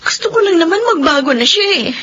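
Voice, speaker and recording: An actress playing a caring, exasperated young older sister in a Filipino radio drama, earnest and emotional. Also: female voice, extremely high pitch, lively intonation, fairly quick, fairly bright tone, highs cut off, slight background noise. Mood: troubled.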